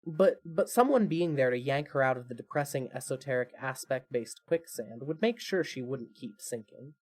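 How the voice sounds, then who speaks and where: A narrator reading a scripted fan fiction podcast in an expressive, measured storytelling manner. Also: medium pitch.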